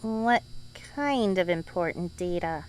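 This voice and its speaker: A woman's voice: on the low side, animated, slow, poor audio. A woman playing a guarded, suspicious lab scientist in a comic-book audio drama, questioning warily and hesitantly.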